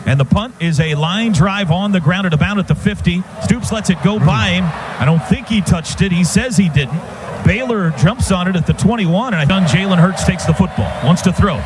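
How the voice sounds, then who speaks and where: An American man calling football play-by-play live on radio in clipped running phrases that build to shouts on big plays. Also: sits high, moderate pace. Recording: noisy stadium radio broadcast.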